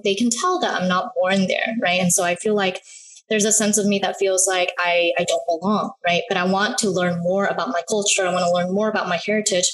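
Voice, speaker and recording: A woman's voice: mid-range voice, poor audio. An American-born woman hosting a casual interview, affirming her guest and often checking in with "right?". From United States. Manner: warm, affirming, frequent "right?". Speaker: host.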